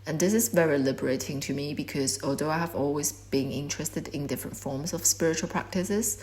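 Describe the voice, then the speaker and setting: A woman in her twenties whose first language is Korean gives a measured, reflective talk about her art. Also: sits low, darkish tone. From China. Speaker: artist. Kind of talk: prepared artist talk.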